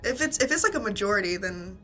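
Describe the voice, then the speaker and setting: A woman playing a tabletop game, talking casually in halting, hesitant phrases. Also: mid-range voice, lively intonation, a bit bright, faint music behind. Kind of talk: casual improvised game talk.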